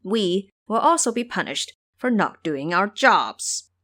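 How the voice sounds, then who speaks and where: Woman playing stern guardian god, animated delivery. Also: mid-range voice, takes it slowly, dry recording, clean studio sound, full character voice. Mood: stern, warning. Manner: emphatic, explanatory. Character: authoritative male guardian god, stern, knowing, cautioning.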